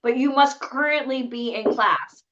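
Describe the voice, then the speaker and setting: A woman's voice: high voice, a little slow, fairly bright tone, dull audio. A woman hosting a live online session, upbeat and emphatic, restating points for the audience. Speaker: host.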